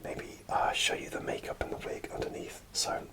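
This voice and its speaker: A man whispering an ASMR show-and-tell in a soft, unvoiced whisper, hesitant and full of small fillers, partly from inside a costume helmet. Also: moderate pace. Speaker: ASMR creator.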